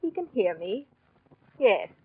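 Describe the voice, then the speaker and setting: A woman's voice: mid-range voice, very animated, slow, breathy edge, no room sound, exaggerated voice. An actress playing a scheming, possessive mistress in a 1940s radio drama, coaxing and silkily controlled as she presses her will. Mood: cool menace. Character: scheming, possessive mistress, coolly cruel wife. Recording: dull vintage 1940s radio recording.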